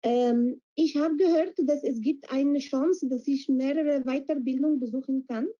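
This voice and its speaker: Woman, higher voice, very dark and mellow tone, hesitant, filler-heavy, dull call audio. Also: moderate pace. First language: Arabic. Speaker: project participant. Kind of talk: interview answers in learner German. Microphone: video call.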